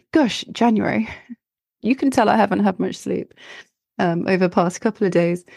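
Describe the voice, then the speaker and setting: A woman astrologer hosting her own podcast, her voice croaky from illness, chatting and rambling with frequent restarts and fillers. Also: medium pitch, a little mellow, no echo, studio quality. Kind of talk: solo podcast talk.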